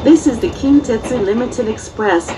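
A woman's announcement sounds from a distant speaker amid loud train noise. It is scripted and formal, measured out very slowly with careful phrasing. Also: fairly high pitch, expressive. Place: train PA heard inside a moving carriage.

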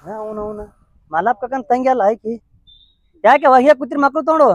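An actor playing a concerned, meddling village neighbor asking questions and urging, with a North Karnataka Kannada accent. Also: male voice, extremely high pitch, lively intonation, quick pace, dry recording. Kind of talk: village drama acting.

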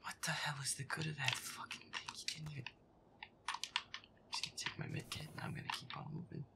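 A man giving irritable, foul-mouthed gameplay commentary in a breathy, often whispered mutter. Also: on the high side, steady tone, fairly quick. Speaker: gamer playing a horror game in character.

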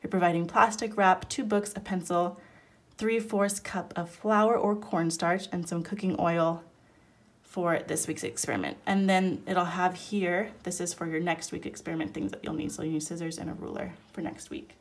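A woman with a cold who sounds nasal, walking through a homeschool curriculum in a relaxed, chatty way at a table. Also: lowish voice, moderate pace. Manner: relaxed, chatty, explaining step by step. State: sick, nasal. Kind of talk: casual product flip-through. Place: indoors at a table, hands-only overhead camera.

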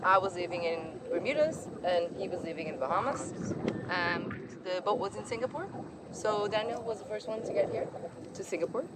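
A woman's voice: medium pitch, animated. Friendly woman, wind roaring on deck. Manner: friendly, a little halting, laughs easily. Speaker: sailing vlog co-host. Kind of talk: casual chat to camera. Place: outdoors on a sailboat deck on a river. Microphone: camera mic from a few feet away. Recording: loud wind noise outdoors.

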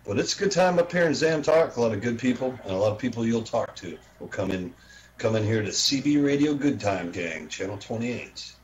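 A man's voice: medium pitch, animated, brisk, highs cut off. A man from Texas chatting casually as a radio hobbyist in an online voice chat. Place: indoors, home, online voice and video chat. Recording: noisy voice chat microphone.

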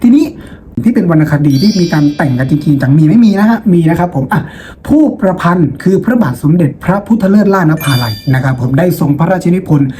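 Mellow-voiced male teacher, chatty and playful. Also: on the high side, lively intonation, fast, soft background music. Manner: chatty and playful, with rhetorical questions. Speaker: teacher and host of a lesson video. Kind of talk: informal storytelling lecture to students. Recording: noticeable background noise.

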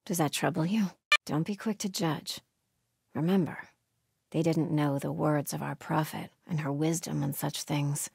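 An actress playing a devout, watchful cult sentry in a video game, speaking in a solemn, measured, pious way with a husky voice. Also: female voice, deep voice, fairly even intonation, dark tone, heavily acted. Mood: solemn vigilance. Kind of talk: video game ambient dialogue.

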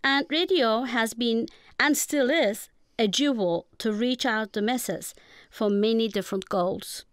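A woman's voice: a little high, measured pace, clean tone, no echo, studio quality. A woman with a Turkish accent, a guest professor giving explanatory answers in a radio interview.

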